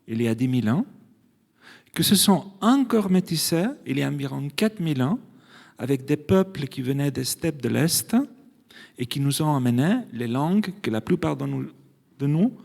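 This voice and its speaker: A man's voice: fairly high pitch, gravelly, a little mellow. A man who is not a native speaker of the language, a geneticist explaining his field in a public debate, stumbling and repeating words now and then. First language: not French. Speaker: geneticist panelist. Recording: reverberant hall sound.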